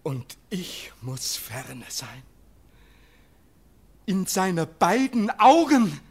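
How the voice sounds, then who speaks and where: An actor playing a hot-tempered young male fugitive in a classic German radio play, his husky voice often dropping to urgent whispers. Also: sits high, very animated, drawn-out words, full character voice. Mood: anguished. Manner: urgent, anguished outbursts.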